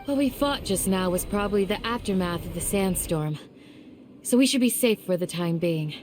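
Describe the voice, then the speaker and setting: A woman voicing a young village guardian in a game, calm, courteous and firm, with polite, measured phrasing. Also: medium pitch, over music, close to an everyday voice. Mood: composed.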